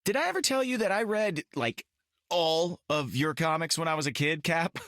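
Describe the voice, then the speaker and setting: An actor playing a cocky, boyish space pilot in a game, casual and stammering. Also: male voice, a little high, expressive, normal speed, fairly bright tone, no echo, studio quality. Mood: chatty, breezy. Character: cocky, goofy young male space-pilot adventurer.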